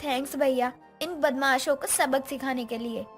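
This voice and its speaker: A woman's voice: high voice, steady tone, moderate pace, faint music behind, natural voice. A woman playing a young stepdaughter in a moral story, with a theatrical, emotive delivery. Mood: polite, sweet. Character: young stepdaughter, vengeful schemer who later repents. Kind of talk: dramatized Hindi moral story acting.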